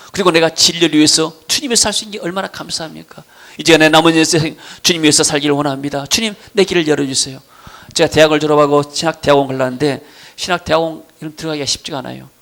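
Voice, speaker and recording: A middle-aged man with a Jeolla accent from Gwangju preaches a sermon with personal testimony, swinging between emphatic declarations and quieter storytelling. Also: fairly high pitch, creaky. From Gwangju region, South Korea. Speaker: pastor preaching.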